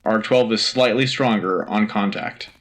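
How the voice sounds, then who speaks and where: A man playing an earnest, patriotic radio anchor reading military news in a crisp, urgent, official manner. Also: on the low side, measured pace, fairly bright tone. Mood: brisk, official. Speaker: radio host. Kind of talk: scripted military news bulletin.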